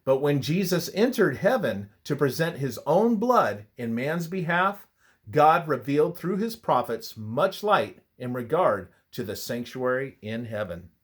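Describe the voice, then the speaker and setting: A man reading a scripted religious text aloud in a solemn, deliberate manner, letting his phrases fall at the ends. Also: mid-range voice, big pitch swings, breathy edge.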